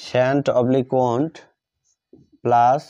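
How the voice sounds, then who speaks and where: A man with a Bengali accent teaches grammar as if dictating, deliberately repeating phrases piece by piece. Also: medium pitch, level tone, mellow. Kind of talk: dictated grammar lesson, written out step by step. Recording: dull lo-fi microphone sound.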